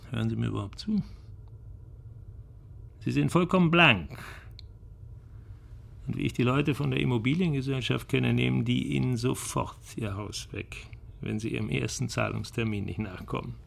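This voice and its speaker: A man's voice: medium pitch, moderate pace, creaky. An actor in a German radio play playing a bitter, cynical bankrupt businessman who lectures emphatically. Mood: forceful, cynical.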